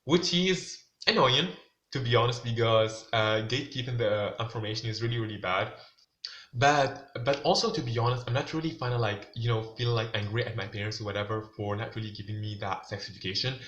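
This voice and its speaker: A young man, speaking in a mid-pitched voice and rambling loosely, full of "like" and "you know." The sound is roomy and a bit dull, as if recorded lo-fi in an echoey room. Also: fairly quick, a bit bright. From Tunisia. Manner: loose, filler-heavy talk, "like" and "you know what I'm saying" everywhere. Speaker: vlogger. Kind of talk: casual monologue to camera.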